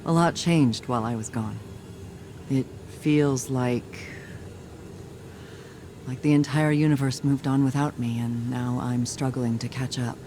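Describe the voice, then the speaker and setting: A man's voice: medium pitch, fairly even intonation, measured pace, long pauses, dark tone, a little hiss or hum, natural voice. A man playing a weary, haunted soldier who reflects in a subdued monologue in a video game. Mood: troubled, reflective. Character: weary, haunted soldier confiding doubts, resolute about duty. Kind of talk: scripted game dialogue.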